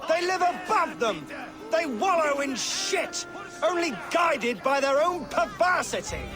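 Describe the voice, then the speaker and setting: High, declaiming fanatical reeve. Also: male voice, sing-song, unhurried, music in the background, full character voice. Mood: righteous contempt. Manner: declamatory, contemptuous oratory. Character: fanatical pompous male reeve preaching executions to a crowd. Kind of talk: dramatic video game acting.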